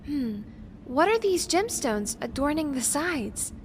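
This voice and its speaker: A woman's voice: high-pitched, big pitch swings, takes it slowly, full character voice. A woman playing a careful, bookish young researcher in an audio drama, earnest and precise, hesitant when unsure. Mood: intrigued. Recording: faint background noise.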